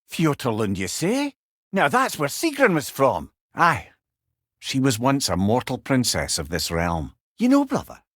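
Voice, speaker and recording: An actor playing a wise, wry old companion in a video game, speaking with a Scottish accent in a folksy, eloquent way. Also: male voice, on the high side, fairly bright tone, no room sound, studio quality, exaggerated voice. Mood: wistful. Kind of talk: video game character dialogue.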